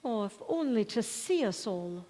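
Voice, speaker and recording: A woman playing a calm, soothing confidante in an old-time radio drama, recorded on an echoey church hall stage. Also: a little high, unhurried.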